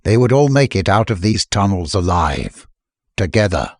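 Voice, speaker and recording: A man's voice: sits low, very animated, moderate pace, dry recording. A man reading scripted fiction as a narrator, in dramatic audiobook style.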